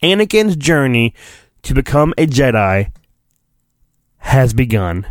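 A man's voice: mid-range voice, sing-song, slow, no echo, clean studio sound. A man hosting a podcast, reading a storybook in a mock-dramatic, playful way with casual asides.

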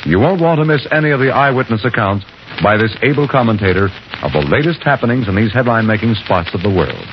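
A man's voice: mid-range voice, animated, fast talker. An American man announcing formally in 1950s network radio style. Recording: muffled, noisy 1950s radio recording.

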